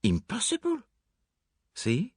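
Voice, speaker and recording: A man voicing an excitable, theatrical foreign detective, emphatic and dramatic, in an audiobook. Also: mid-range voice, normal speed, husky, no room sound, clean studio sound, voice pushed up. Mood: astonished. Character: excitable, theatrical foreign male detective, fastidious and self-reproaching.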